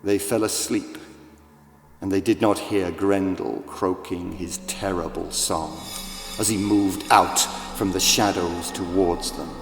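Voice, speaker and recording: Male children's audiobook narrator: dramatic, deliberate, very unhurried, heavy echo, light music bed. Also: low voice, fairly even intonation. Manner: theatrical, deliberate, with big swells and hushes. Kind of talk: dramatic scripted storytelling for children. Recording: echoey, long reverb, music behind.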